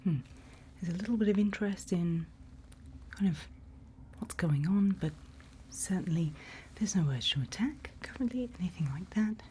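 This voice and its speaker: A woman playing a calm, reassuring monster-hunting guide in a whispered ASMR roleplay, speaking in a hushed, patient, breathy way. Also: on the low side, moderate pace, a little hiss or hum, close to an everyday voice. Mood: reassuring, apologetic. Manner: hushed, patient, instructive, soothing.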